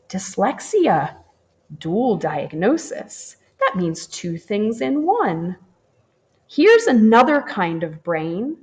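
A woman reads a children's picture book aloud at an unhurried pace, the way a teacher would to a class. Her pitch moves widely and expressively, rising and falling to keep young listeners engaged. The delivery stays gentle and warm. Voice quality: expressive and animated, slow and gentle. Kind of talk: children's storybook read-aloud. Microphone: camera over the book, hands turning pages.

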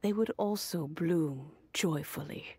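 An actress playing a gentle, reflective old woman in scripted video game dialogue. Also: deep voice. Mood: grave, reflective. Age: older. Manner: slow, reflective, sighing. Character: gentle wistful old woman, storytelling flower-admirer. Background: game music.

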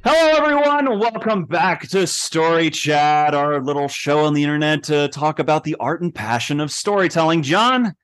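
A man's voice: a little high, normal speed, few pauses, a bit bright. A man in his thirties co-hosting a podcast in a playful, casual way over a video call with a headset. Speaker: podcast co-host. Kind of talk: casual chat. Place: indoor room.

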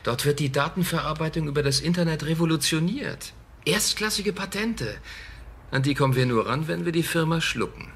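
An actor playing an eager, persuasive young tech company president in an audio drama, with a creaky voice. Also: male voice, on the high side, a little fast, fairly bright tone, a little hiss or hum. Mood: insistent. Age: young adult. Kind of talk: German audio drama acting.

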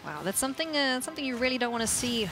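A woman's voice: sits high, animated, very fast. A woman hosting and commentating live on an esports match from a broadcast desk, on a headset mic. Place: broadcast desk in an event arena. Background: music.

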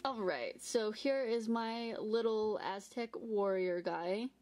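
A woman's voice: mid-range voice, slow pace, darkish tone. A woman co-hosting a toy unboxing, chatting casually and describing each piece with lots of "like" and "little". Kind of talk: casual chat. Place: indoor tabletop filming setup.

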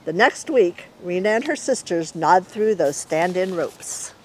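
A middle-aged woman reading a children's story aloud in an expressive storyteller's way. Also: lowish voice, unhurried, slight background noise, poor audio.

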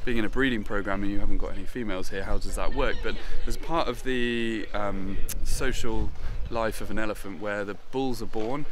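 A man's voice: fairly low pitch, a little fast, few pauses, a crowd in the background. An English man working as a zoo curator, explaining at length outdoors with many fillers. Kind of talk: explanatory interview. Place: outdoor elephant enclosure. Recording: outdoor noise behind.

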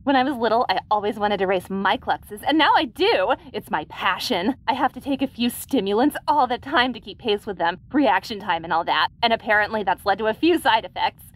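A woman playing a hyper, chatty young alien racer who rambles excitably in an audio roleplay. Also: medium pitch, close to an everyday voice. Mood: bubbly, chatty. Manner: rambling, excitable, rapid topic jumps.

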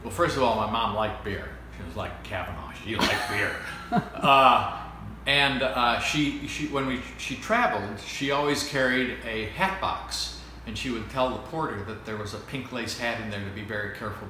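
A man's voice: mid-range voice, moderate pace, bright, forceful tone. Older american guy in his 70s telling wry rambling stories, super echoey room, noisy hum behind him. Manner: rambling, anecdotal, wry and playful. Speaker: interviewee, storyteller. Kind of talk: casual oral-history storytelling.

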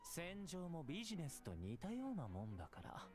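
An actor playing a confident young military commander in a video game, theatrical, breezy yet menacing. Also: male voice, fairly high pitch, wildly swooping pitch, rapid-fire, music in the background. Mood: commanding. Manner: theatrical, speechifying. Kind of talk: Japanese video game voice acting.

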